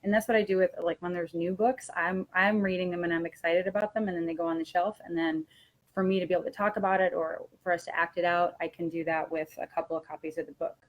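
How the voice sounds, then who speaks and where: A woman with a slightly low voice talks as a teacher trainer. She explains classroom practice informally, in a conversational webinar style. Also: fairly even intonation, fast talker, low-quality recording.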